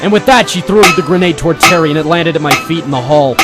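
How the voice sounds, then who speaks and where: A man's voice: fairly high pitch, fast talker, over loud music, close to an everyday voice. A man playing a brave, wry ex-Marine private eye, narrating dramatically in 1940s radio detective style. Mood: urgent, tense. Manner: dramatic first-person storytelling.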